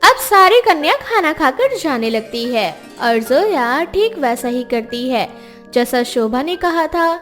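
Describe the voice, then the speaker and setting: A woman narrating a scripted moral story in a steady storytelling manner. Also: high voice, takes it slowly. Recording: music bed behind voice.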